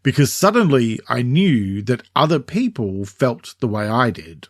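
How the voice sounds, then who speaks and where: A man's voice: medium pitch, big pitch swings, unhurried, no room sound. Middle-aged Australian man, dry close-mic podcast voice. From Sydney, Australia. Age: 40s or 50s. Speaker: podcast host, mental health counsellor. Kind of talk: scripted solo podcast narration, conversational.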